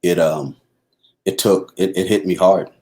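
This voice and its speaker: A middle-aged man from the Bahamas with a Bahamian accent, talking casually about family and marriage, pausing and restarting often. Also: sits low, steady tone, darkish tone. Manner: halting, many restarts and fillers. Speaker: husband co-host. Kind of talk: casual conversation.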